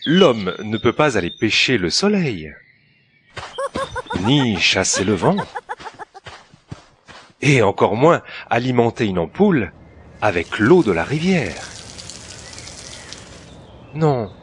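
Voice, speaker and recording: Slow, very animated male narrator. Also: medium pitch, slight background noise. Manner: storytelling, deliberate with dramatic pauses. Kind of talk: scripted educational cartoon narration for children.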